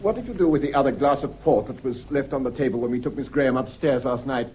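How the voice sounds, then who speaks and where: A man's voice: mid-range voice, quick pace, darkish tone, a little hiss or hum, close to an everyday voice. A man playing an anxious, evasive widower with a hesitant, formal manner in a period mystery radio play. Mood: uneasy. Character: anxious, evasive widower suspected of poisoning his wife.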